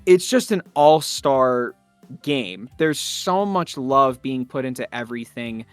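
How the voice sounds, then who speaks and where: A man's voice: medium pitch, fairly bright tone, no echo. A man talking enthusiastically in an interview as a voice actor, effusive and emphatic.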